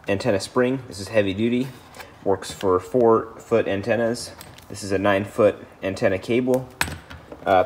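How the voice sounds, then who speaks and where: A man with a low voice walks through a hands-on job in a plain, matter-of-fact way. His delivery is casual, like someone hosting a DIY video. Clear clicking and clattering noise sits around his speech. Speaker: host of a DIY install video. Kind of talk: casual how-to narration.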